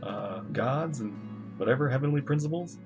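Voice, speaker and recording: Gravelly, animated game streamer. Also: medium pitch, moderate pace. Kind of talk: casual gameplay commentary and reading along. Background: game music.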